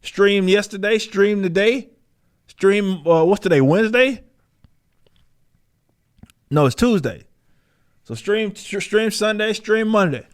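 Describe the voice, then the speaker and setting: A man's voice: high voice, expressive, takes it slowly, pauses often, clear, resonant tone. A man giving casual, opinionated podcast commentary in a combative, emphatic manner with plenty of swearing. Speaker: podcast host.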